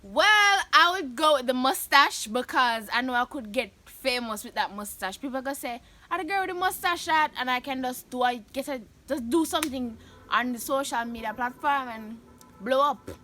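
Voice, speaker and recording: A young Jamaican woman hosting her vlog, chatting animatedly and breaking into loud laughs and shouts. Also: high-pitched, animated, moderate pace. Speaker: vlogger host. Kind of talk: casual chat answering questions.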